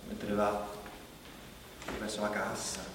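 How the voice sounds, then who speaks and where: A man's voice: fairly low pitch, completely flat delivery, slow pace. An actor playing a chatty, watchful everyday man in a rambling, fragmented conversational stage monologue. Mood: musing, observant. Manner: rambling, fragmented, tagging phrases with questions. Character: watchful, chatty everyday supermarket shopper, musing. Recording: distant echoey hall, clear background noise.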